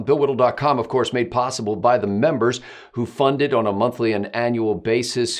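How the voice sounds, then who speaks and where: A man hosting a talk show in a conversational, measured and wordy way. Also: medium pitch. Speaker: show host. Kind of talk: conversational hosting and promotional plug.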